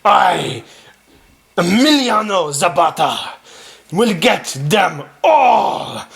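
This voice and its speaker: A man voicing a gloating, bloodthirsty rebel leader puppet in a villainous, drawn-out manner. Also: high voice, vocal fry, fairly bright tone, full character voice.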